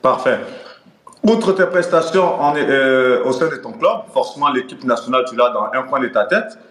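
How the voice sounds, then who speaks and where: A man's voice: mid-range voice, normal speed, fairly bright tone. A male interviewer speaks in a medium register, recorded with strong room echo. He runs a conversational sports interview and builds up to his questions in long, wordy stretches. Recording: echoey, reverberant room sound.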